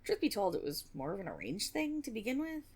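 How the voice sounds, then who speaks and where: A woman's voice: medium pitch, huge pitch swings, fast, a little mellow, heavily acted. A woman playing an eager, nervous young female tiefling rogue in an intimate fantasy audio roleplay, rambling and stammering with a teasing streak. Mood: rueful. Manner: nervous rambling with stammers, sighs and breathy giggles.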